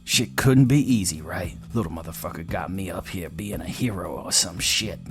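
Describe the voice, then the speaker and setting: A man performs a gruff cyborg ex-athlete, a reluctant and profane hero in an audio sci-fi tale. The delivery is rough-mannered and streetwise, set over prominent music. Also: deep voice, moderate pace, lightly acted. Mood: grumbling. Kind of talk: dramatized sci-fi audio story. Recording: music under the voice.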